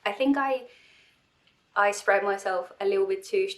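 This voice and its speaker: A woman's voice: medium pitch, moderate pace, a bit bright. Young woman vlogging, stuffy and sniffly, rambly and self-deprecating, lots of restarts. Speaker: cosplay vlogger. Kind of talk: casual vlog talk.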